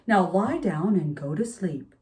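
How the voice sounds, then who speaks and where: A woman's voice: fairly low pitch, lively intonation, unhurried, a little mellow. A woman voicing a stern, fed-up father scolding at bedtime in a read-aloud storybook. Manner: firm, admonishing.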